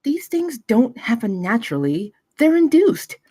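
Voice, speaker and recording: A woman's voice: on the high side, very animated, unhurried, mellow, no room sound, studio quality. A young woman at a film table read playing an earnest, insistent intern investigator in her early twenties.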